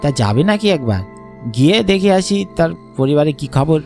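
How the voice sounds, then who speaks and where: A man's voice: a little high, very animated, moderate pace, darkish tone, faint music behind. A man voicing a concerned, stubborn older village schoolteacher in a cartoon, insistent yet reassuring. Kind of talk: cartoon voice acting.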